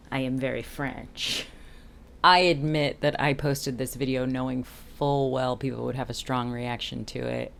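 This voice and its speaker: A woman talking casually as a boat captain and vlog host, explaining things candidly with self-deprecating, animated asides. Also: extremely low pitch, vocal fry, slight background noise.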